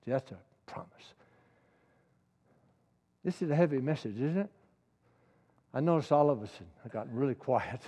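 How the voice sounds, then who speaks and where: A man's voice: mid-range voice, expressive, normal speed, long pauses, mellow, slightly rough, long reverb tail. A middle-aged man preaching a sermon with passion, repeating key lines and building to emphatic peaks.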